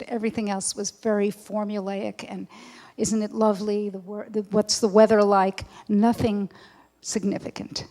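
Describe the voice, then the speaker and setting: Woman audience member, soft-edged mellow tone, mid pitch, sharing an anecdote in a reverberant hall. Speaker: audience member making a comment. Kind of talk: telling an anecdote at a public event. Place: large indoor event hall. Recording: echoey hall with long reverb.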